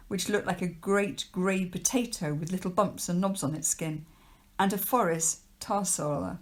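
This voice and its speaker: A woman, a teacher, reading a children's novel aloud as narrator. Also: on the low side. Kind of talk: storybook narration read aloud.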